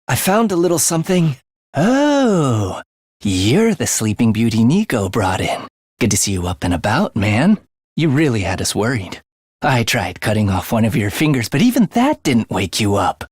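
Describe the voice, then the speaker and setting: A playful, murder-loving young assassin, swooping pitch. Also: male voice, no echo, clean studio sound. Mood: breezy, teasing. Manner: breezy, teasing slang turning breathy and theatrical. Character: playful, morbid young male assassin, gleefully murder-obsessed. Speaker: voice actor. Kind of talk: English video game voice acting.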